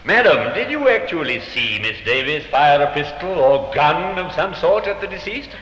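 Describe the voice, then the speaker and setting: An actor playing an insistent defense barrister in a 1950s radio drama, probing and theatrical. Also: male voice, a little high, sing-song, normal speed, a bit bright. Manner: probing, theatrical cross-examination. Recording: echoey, muffled, faintly noisy old radio.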